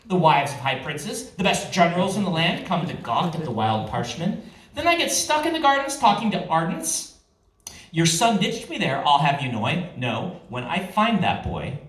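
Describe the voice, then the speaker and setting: A man's voice: on the high side, big pitch swings, moderate pace, a bit bright. A man voicing a flighty, haughty young noblewoman who gushes and complains dramatically in a fantasy novel reading. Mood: petulant, exasperated. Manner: gushing, dramatic, petulant. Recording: roomy live reading, far from mic.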